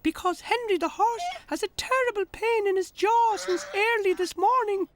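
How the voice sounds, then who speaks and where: A squeaky, eager little farm machine. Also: male voice, normal speed. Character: small eager helpful male farm machine.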